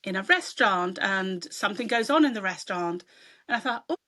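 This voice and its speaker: A woman's voice: medium pitch, lively intonation, brisk, pushed and bright. A middle-aged woman from Sweden, an author, chatting casually and telling stories in an interview. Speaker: author guest.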